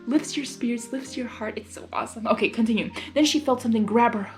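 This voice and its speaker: A woman's voice: fairly high pitch, fast talker, faint music behind. A young woman reading a story aloud expressively and breaking in with gushing, emotional reactions. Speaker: reader of fan fiction.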